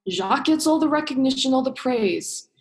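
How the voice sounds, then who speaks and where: A woman's voice: sits high, lively intonation, moderate pace. A woman drama student acting a bitter, sarcastic young female scientist in a radio play, sardonic and emphatic, stretching stressed words. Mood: wistful bitterness. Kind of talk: radio play monologue and dialogue.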